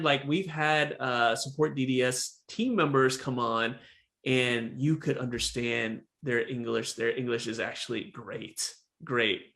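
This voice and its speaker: A man hosting a dental podcast over a video call, chatting casually and often saying "again" and "right?". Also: on the high side. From Texas. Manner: animated, repeats "again" and "right?". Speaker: podcast host, dentist. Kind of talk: casual interview chat.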